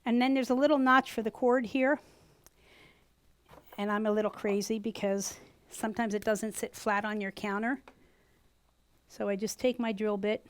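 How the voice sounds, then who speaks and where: A woman with a US East Coast accent teaching a gourd craft class live to a small group, talking loudly and chattily. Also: fairly high pitch, moderate pace, long pauses, dry recording. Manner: loud, chatty, animated. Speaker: gourd art instructor. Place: workshop room with a small audience.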